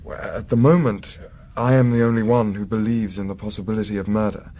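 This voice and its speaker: Actor as low-voiced, courteous Victorian gentleman investigator. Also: steady tone, lightly acted. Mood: firm, explanatory. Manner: formal, measured, precise diction. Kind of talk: period radio drama acting.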